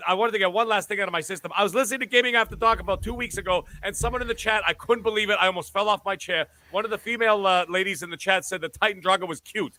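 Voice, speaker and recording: A man on a gaming podcast panel, loudly trash-talking and teasing a friend in a mock roast. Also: sits high, no room sound. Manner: loud, teasing, over-the-top insults.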